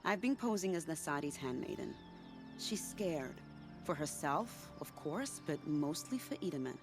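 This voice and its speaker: Game role: sly spy, lowish voice, very animated, teasing, music underneath. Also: female voice, measured pace. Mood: earnest, persuasive. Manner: teasing, silky, dramatic. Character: sly, theatrical female spy, confident and scheming. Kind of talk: video game cutscene dialogue acting.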